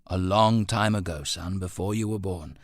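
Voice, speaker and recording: A man acts out a gentlemanly father in a period storybook. His voice sits low and close. He speaks in clipped old-fashioned phrases, stern but patient, and easily flustered. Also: moderate pace, dry recording. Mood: grave, gentle.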